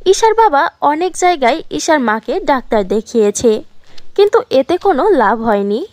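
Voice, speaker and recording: Woman reading out a fairy tale, quite high voice, dry close sound. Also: very animated, normal speed, darkish tone, no echo. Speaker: storyteller, narrator. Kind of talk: scripted fairy tale narration.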